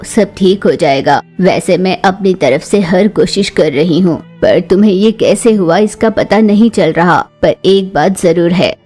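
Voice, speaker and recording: Woman as a low, husky cartoon crow doctor. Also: darkish tone. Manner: reassuring, coaxing. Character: kindly, reassuring female crow family doctor. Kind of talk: Hindi children's cartoon voice acting.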